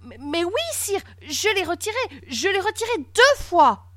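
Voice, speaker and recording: A woman's voice: extremely high pitch, very animated, normal speed, bright, forceful tone, voice pushed up, exaggerated voice. A woman voicing a timid, polite boy in a storybook, stammering and anxious. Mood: flustered, pleading. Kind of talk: storybook character acting.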